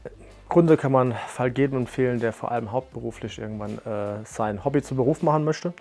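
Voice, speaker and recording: A man in his late thirties talks into a lapel mic in a bright room. He sounds plain and matter-of-fact, with the odd hesitation. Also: mid-range voice, brisk, soft background music. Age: 30s. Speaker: martial arts school co-owner. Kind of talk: testimonial interview. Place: bright room with curtains, standing.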